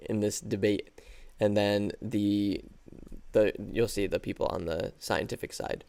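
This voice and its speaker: A man's voice: lowish voice, steady tone, measured pace, creaky, a little mellow, clean tone. A man co-hosting a podcast, explaining a video in an easygoing, casual way. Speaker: podcast co-host.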